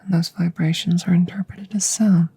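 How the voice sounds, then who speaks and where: Alien scientist, played by a woman: female, hushed ASMR delivery, formal and curious, low mellow voice, close mic. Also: flat delivery, a little hiss or hum, lightly acted. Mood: curious, clinical. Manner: measured, formal, deliberate. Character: calm, clinical, curious female alien scientist examining a visitor. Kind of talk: ASMR roleplay, close whispers and soft speech. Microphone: close microphone.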